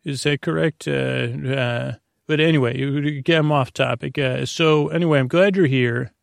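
A man hosting a bedtime podcast in a creaky, soothing voice, rambling through improvised tangents with mumbles and stumbles over his words. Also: mid-range voice, moderate pace, no echo, studio quality. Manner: soothing, lulling delivery. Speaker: podcast host.

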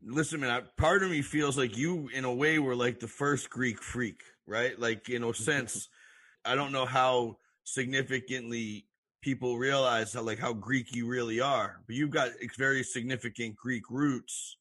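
Bright-voiced male podcast host. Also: medium pitch, fairly even intonation, moderate pace. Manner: friendly, says man, asks long questions. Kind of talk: podcast interview.

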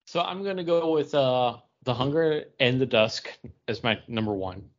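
Guy podcast cohost, mid pitch, jokey and self-deprecating, casual chat, kinda lo-fi audio. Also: moderate pace. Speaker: comics podcast co-host. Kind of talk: casual comic book review chat.

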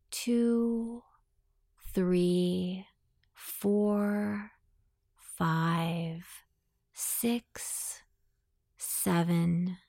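A woman leads a guided meditation at an extremely slow, deliberate pace. Her voice sits a little low and has a clear creak to it. She gives soothing instructions. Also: a little mellow.

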